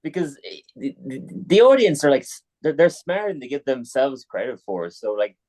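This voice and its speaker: A young man with an Irish accent, a comedian guest on a podcast, talking in a relaxed, chatty way with lots of filler and easy laughs. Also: medium pitch, moderate pace, poor audio. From Ireland. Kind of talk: casual podcast interview.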